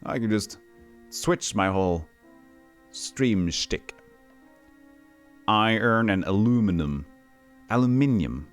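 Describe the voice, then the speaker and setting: A man's voice: on the low side, expressive, slow pace, pauses often, faint music behind. A man from Texas with no regional accent, chatting casually as he streams a retro game, reading game text aloud and answering his chat. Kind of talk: casual gameplay commentary.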